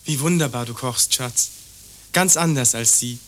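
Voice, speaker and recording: An actor playing an obtuse, prejudiced young lover in a radio play, condescending and evasive. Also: male voice, medium pitch, unhurried, a bit bright, natural voice. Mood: blithely dismissive. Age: young adult. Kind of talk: German radio play dialogue.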